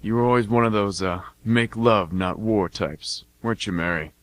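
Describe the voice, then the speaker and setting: A man's voice: on the low side, normal speed, dry recording, natural voice. A man playing a guarded, evasive brother in security work, speaking tersely in an amateur radio play. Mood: needling.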